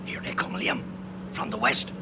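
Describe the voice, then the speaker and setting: An actor playing a rousing Irish rebel officer in a war radio play, giving terse, commanding orders in an Irish accent. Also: male voice, normal speed, heavy background noise, lightly acted. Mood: tense, hushed.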